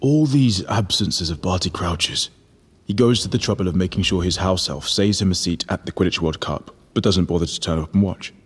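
A brooding fugitive wizard speaks in a very low, rough-edged voice. He is sharp-witted and probes deliberately, as in a dramatised audiobook. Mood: grim, knowing. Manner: probing, deliberate questioning. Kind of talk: full-cast audiobook drama.

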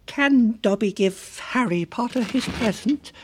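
A woman voicing an eager, anxious male house-elf with a squeaky, servile way of talking, reading a storybook aloud. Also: measured pace, a little hiss or hum, natural voice. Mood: eager, timid. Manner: servile, speaks of himself in third person.

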